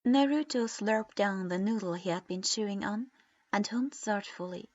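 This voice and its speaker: A woman reading a piece of fan fiction aloud in an even, unhurried narration. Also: medium pitch, no room sound. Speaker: reader. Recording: dull lo-fi recording.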